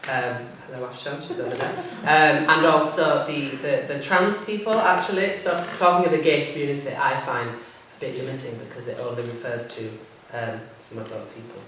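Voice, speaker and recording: A man chairing a panel discussion, hesitant, with many pauses and restarts. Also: mid-range voice, steady tone, a bit bright, slight background noise. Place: theatre hall. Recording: echoey hall from far off.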